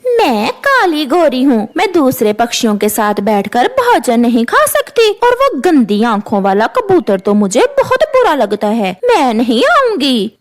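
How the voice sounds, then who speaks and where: Woman acting as cartoon female crow, high voice, animated pitch, haughty, spiteful, scornful. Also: measured pace, few pauses, exaggerated voice. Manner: scornful, gloating.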